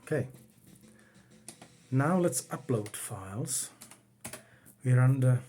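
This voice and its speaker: Brief: Czech man speaking English, mellow tone, plain easygoing hobbyist, home workroom, keyboard clicks behind. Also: medium pitch, animated, soft background music. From Czech Republic. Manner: unhurried, plain, talks while working the computer. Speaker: retro computing hobbyist. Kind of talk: casual home demo walkthrough.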